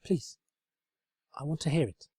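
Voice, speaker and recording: A man's voice: fairly high pitch, measured pace, mellow, husky, no room sound, voice pushed up. A man voicing an earnest, kind-hearted young female unicorn in a comic storybook, sincere and easily flustered. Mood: tender, sincere. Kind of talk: comedic storybook reading.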